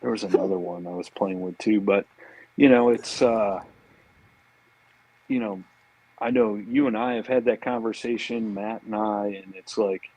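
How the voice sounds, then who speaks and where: A man talks fishing in a casual, laid-back style full of slang. His voice is fairly low and husky, and he often repeats himself as he goes. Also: lively intonation, normal speed, a little mellow, dry recording, rough recording. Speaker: podcast guest, fishing tackle marketer.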